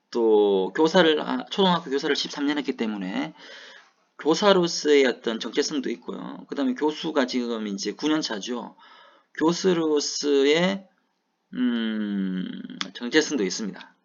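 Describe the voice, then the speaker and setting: A man's voice: medium pitch, creaky, a bit bright. A middle-aged man from South Gyeongsang with a Gyeongsang Korean accent, a professor lecturing who keeps asking rhetorical questions and answering them himself. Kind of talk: recorded lecture. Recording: dull, lo-fi lecture recording.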